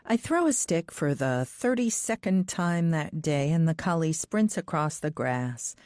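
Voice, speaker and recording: A woman narrates a thriller audiobook in the first person. Her voice sits low, and the sound is dry with no room around it. The delivery is scripted storytelling. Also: animated, measured pace, darkish tone, no echo.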